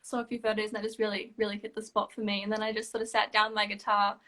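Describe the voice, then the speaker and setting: A woman's voice: medium pitch, completely flat delivery, rapid-fire, pushed and bright. A young woman with an Australian accent giving casual interview answers as a songwriter. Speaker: songwriter, competition winner.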